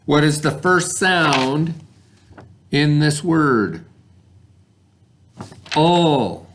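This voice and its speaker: Man, primary teacher type, unhurried and patient, question-and-answer phonics delivery, faintly noisy recording. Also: on the high side, lively intonation, fairly bright tone. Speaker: elementary school teacher. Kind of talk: read-along spelling lesson for children at home.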